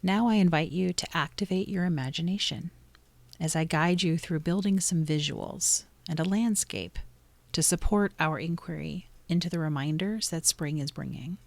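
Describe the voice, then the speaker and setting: Low-pitched woman guiding a meditation. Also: creaky, no room sound. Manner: soothing, gently instructive, pausing between short phrases. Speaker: meditation guide. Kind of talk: guided meditation narration.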